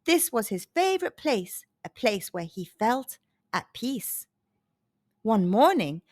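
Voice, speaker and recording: A woman narrating a scripted children's storybook in an expressive, measured storytelling manner. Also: fairly high pitch, dry recording, clean studio sound.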